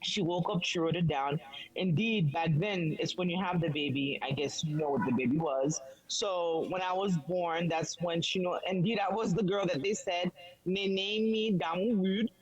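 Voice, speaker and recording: A woman's voice: on the low side, normal speed, a crowd in the background, low-quality recording. A middle-aged woman from Haiti, speaking earnestly as an interview guest and growing firm and emphatic. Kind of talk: casual interview talk.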